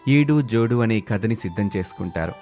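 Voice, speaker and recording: A man's voice: fairly low pitch, animated, normal speed, darkish tone. A man narrating a scripted story as its storyteller. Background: background music.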